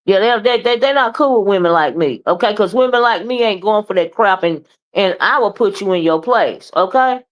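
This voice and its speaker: A woman with a loud, boisterous voice, ranting bluntly in a live commentary and keeping her listeners in line with constant checks of "okay?" and "right?". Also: medium pitch, lively intonation, moderate pace, hardly any pauses. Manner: blunt, profane, keeps asking "okay?" and "right?"; moody, confrontational.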